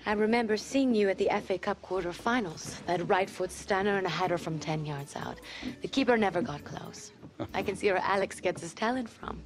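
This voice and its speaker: An actress playing a poised super agent, formal and assured as she delivers a polished pitch. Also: brisk, faint music behind, close to an everyday voice. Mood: smooth, persuasive. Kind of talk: polished sales pitch in game cutscene.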